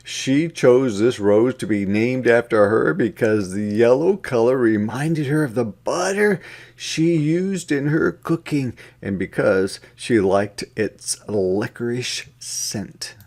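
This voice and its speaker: A man with a mid-pitched voice reads a children's book aloud as a teacher would. His delivery is slow and deliberate, with a sing-song lilt for counting and repeated words. The style is an interactive read-aloud aimed at young children. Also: animated, a little mellow.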